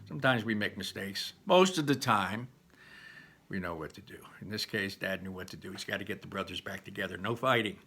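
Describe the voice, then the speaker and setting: A man reading a storybook aloud in an animated manner, stepping out for chatty explanations to his listeners. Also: sits low, brisk, slightly rough.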